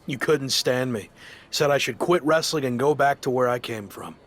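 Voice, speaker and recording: A man's voice: mid-range voice, moderate pace, natural voice. Grudging, sardonic male wrestler played by an actor. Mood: defensive, wry. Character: reluctant, headstrong male pro wrestler, grudging and sarcastic. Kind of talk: video game documentary cutscene acting.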